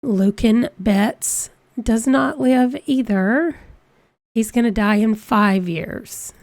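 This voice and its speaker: A woman's voice: a little high, slow, darkish tone, no echo, studio quality. A woman hosting a let's play, chatty and reading out dice rolls, her voice congested from a cold. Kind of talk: casual game commentary.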